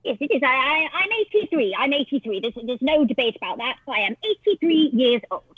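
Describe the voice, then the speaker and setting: Woman as a cagey old lady in her eighties, lower than her own voice but still high, tinny call line. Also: lively intonation, a little fast, slightly rough, no echo. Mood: coy. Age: 80s. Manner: evasive, hesitant with fillers. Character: sly old woman of 83, evasive. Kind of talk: improvised comedy. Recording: tinny video call audio.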